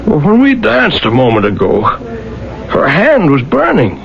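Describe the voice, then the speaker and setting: An actor playing a timid, earnest, awkward young man in a radio drama, halting and apologetic. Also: fairly high pitch, huge pitch swings, vocal fry, exaggerated voice. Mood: nervous, unsure. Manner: halting, hesitant. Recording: muffled old radio recording.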